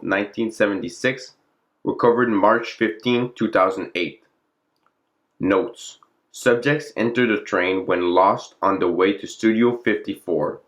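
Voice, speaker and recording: A man's voice: fairly low pitch, a bit bright. A man narrating a fictional classified document in a formal, clinical log-reading manner. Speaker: narrator and presenter of the channel.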